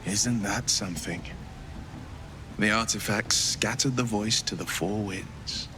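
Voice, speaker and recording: A man playing an urbane, curious, genially wry wizard in a video game, with eloquent, measured delivery. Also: lowish voice, background noise. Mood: intrigued. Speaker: video game companion character. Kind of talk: game dialogue.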